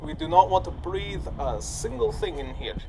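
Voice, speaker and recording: A tabletop roleplaying player chatting casually in and out of character, with halting phrasing. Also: medium pitch, expressive, crowd noise, poor audio. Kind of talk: casual in-character game chat. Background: music.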